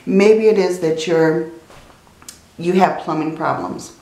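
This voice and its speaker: A woman reading tarot cards in a slow, soothing way, her voice tired and strained from many readings. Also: on the low side, dark tone, reverberant, rough recording. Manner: slow, soothing, often adds "okay?" and "I feel like". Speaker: tarot reader. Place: indoors at a table, hands seen handling cards.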